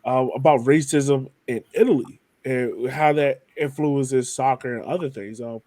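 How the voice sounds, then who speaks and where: Man, medium pitch, casual interviewer, podcast host, no room echo. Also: measured pace, warm tone.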